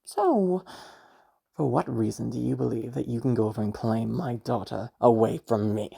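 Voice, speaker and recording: A very deep, husky female voice with a dark tone plays a cruel, wealthy matriarch acted out by a woman in an audio drama. She is pious and domineering, talking down with taunting contempt and lingering pauses. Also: exaggerated voice. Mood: scornful, righteous. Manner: condescending, taunting, drawn-out pauses and hums. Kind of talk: amateur audio roleplay monologue.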